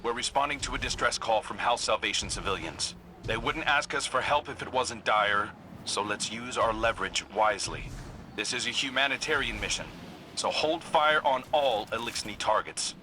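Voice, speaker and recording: An actor playing a resolute, principled commander giving earnest mission briefings in a video game. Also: male voice, mid-range voice, normal speed, noisy background, natural voice. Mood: focused, measured.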